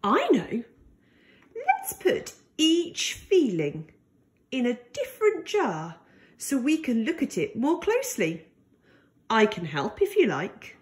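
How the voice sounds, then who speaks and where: A woman reads a picture book aloud for small children, with a high voice that rises and falls in lively swoops. She takes her time, very slowly and deliberately, keeping the delivery gentle and expressive. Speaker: storyteller for young children.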